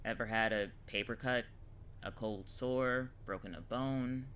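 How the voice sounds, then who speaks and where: Woman playing a wry witch mentor, very bright voice. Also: a bass voice, unhurried, slight background noise. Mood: serious, explaining. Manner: casual, slangy, wry. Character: wry, teasing, self-described lazy older witch mentor woman. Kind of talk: scripted audio drama acting.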